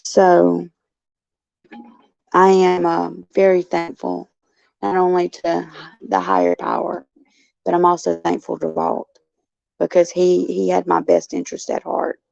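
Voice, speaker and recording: A woman hosting her show with a raspy, gruff voice, chatting in a folksy way and answering viewers by name from a care facility. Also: on the low side, measured pace, long pauses, darkish tone, no echo. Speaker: show host. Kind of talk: casual chat, answering viewers. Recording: dull lo-fi, dry sound.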